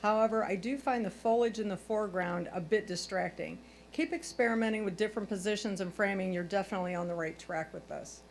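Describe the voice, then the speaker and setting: A woman's voice: mid-range voice, quick pace, few pauses, poor audio. A woman working as a photo competition judge, reading her own prepared critiques in a constructive, encouraging manner. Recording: clear background noise, hum.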